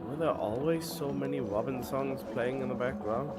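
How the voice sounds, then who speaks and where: A man's voice: medium pitch, moderate pace, over loud music. A man giving casual game-streaming reactions in a blunt, sardonic, skeptical way. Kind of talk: casual gameplay reaction commentary.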